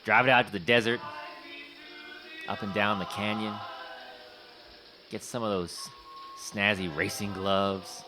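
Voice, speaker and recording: An actor playing a tenacious talent scout, talking fast like a salesman in an audio drama. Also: lowish voice, steady tone, natural voice. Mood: wistful. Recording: music playing under the voice.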